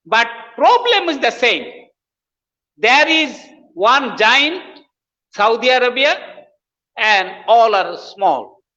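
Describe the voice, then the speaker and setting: Slow, emphatic man. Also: very high voice, sing-song, pushed and bright. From Bangladesh. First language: Bengali. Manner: emphatic, repeats phrases for stress. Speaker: guest professor. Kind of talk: explanatory interview talk. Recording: dull lo-fi audio with long reverb.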